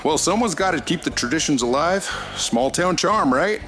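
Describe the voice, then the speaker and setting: Man acting as folksy small-town race organizer, swooping intonation, friendly yet quietly sinister, audio drama, faint music behind. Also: on the high side, expressive, moderate pace. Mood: folksy welcoming.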